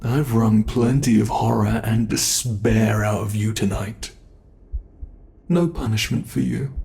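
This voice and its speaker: A British man voicing a cruel male monster who keeps a human captive, taunting in a slow, mocking way for an ASMR horror roleplay. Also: on the low side, vocal fry, a little mellow. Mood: gloating menace.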